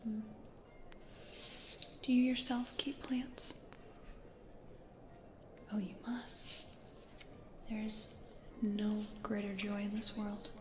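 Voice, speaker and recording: A woman playing a gentle, anxious, plant-loving American ghost from the 1800s in a soft, hesitant, breathy whisper for an ASMR roleplay. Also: medium pitch, fairly even intonation, extremely slow, long pauses. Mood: tender, proud. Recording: roomy echo, audible background noise.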